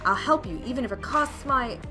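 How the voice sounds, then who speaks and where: A woman's voice: on the high side, lively intonation, a little fast, over music, natural voice. A woman playing a frightened, desperate young hero in a visual novel, narrating in an emotional, melodramatic way. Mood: panicked, desperate. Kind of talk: dramatic first-person visual novel narration.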